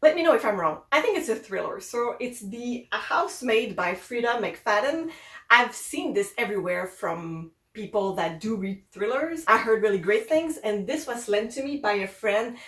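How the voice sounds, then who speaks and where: A woman's voice: a little high, animated, normal speed, fairly bright tone, echoey room. Chatty woman with a Québécois French accent. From Quebec, Canada. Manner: chatty, upbeat, rambling. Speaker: book vlogger. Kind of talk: casual chat.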